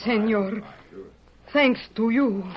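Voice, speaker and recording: An actress playing a grateful young Mexican patriot in an old radio western, with a Mexican accent and fervent, halting phrasing. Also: female voice, a little high. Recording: muffled vintage radio recording.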